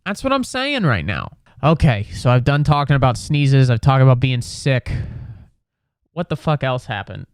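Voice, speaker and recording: A man in his twenties, sick with a cold, hosting a comedy podcast from home in a profane, exasperated rant with sudden squeaky outbursts. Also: sing-song, moderate pace, no echo, studio quality. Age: 20s. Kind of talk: ranting comedic monologue. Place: home room.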